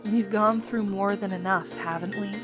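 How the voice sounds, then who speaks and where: A woman's voice: mid-range voice, steady tone, normal speed, over music, natural voice. A woman playing a caring, loyal young soldier in an audio drama, earnest and persuasive. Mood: earnest, concerned.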